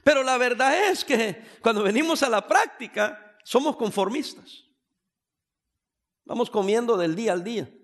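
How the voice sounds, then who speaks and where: High-voiced Salvadoran-born man preaching. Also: animated, moderate pace, fairly bright tone. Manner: conversational preaching with humorous asides. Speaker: pastor. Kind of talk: sermon.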